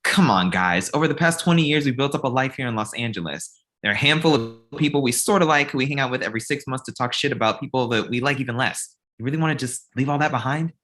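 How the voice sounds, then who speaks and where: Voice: medium pitch, lively intonation, fast, few pauses, a bit bright, close to an everyday voice. An actor reading the part of a blunt, exasperated male talent manager in a comedy table read. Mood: pressing.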